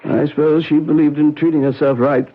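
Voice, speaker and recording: An actor as a dry, husky doctor. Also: male voice, fairly high pitch, little pitch movement, fairly quick, lightly acted. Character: dry, wry hospital doctor. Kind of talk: 1970s radio drama acting. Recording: tinny old radio broadcast.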